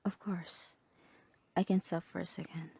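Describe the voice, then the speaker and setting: A woman voicing a calm, composed therapist in a soft, breathy, half-whispered ASMR roleplay, measured and clinical. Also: lowish voice, no echo, full character voice. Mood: calm, reassuring. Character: calm, composed female therapist guiding a patient through roleplay.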